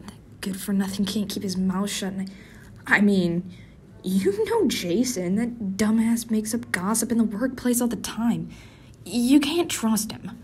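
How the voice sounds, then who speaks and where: A man playing a slow-talking, prickly, flustered office worker. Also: animated, darkish tone. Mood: flustered, denying. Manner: stammering, defensive, grumbling. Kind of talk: tsundere roleplay audio addressed to listener. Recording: faint rumbling office and city background noise.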